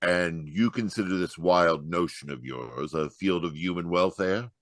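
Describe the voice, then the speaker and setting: A man's voice: low voice, measured pace, a bit bright, no echo, clean studio sound. A man playing a stern, scornful rich man in a radio mystery, emphatic, pompous and dismissive. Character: stern, scornful rich older brother, foundation head. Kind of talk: old-time radio mystery acting. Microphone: close home microphone on a video call.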